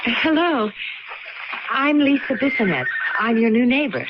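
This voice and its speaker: A woman's voice: a little high, very animated, measured pace. A woman playing a cheerful, teasing young newlywed wife in a radio drama. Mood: bright, polite. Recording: dull lo-fi radio, faint noise.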